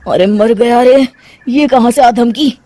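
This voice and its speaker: A woman's voice: a little high, measured pace, mellow, no room sound. A woman voicing a harried, submissive young husband in a cartoon story, flustered and complaining in a theatrical way. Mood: dismayed. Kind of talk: Hindi cartoon moral story acting.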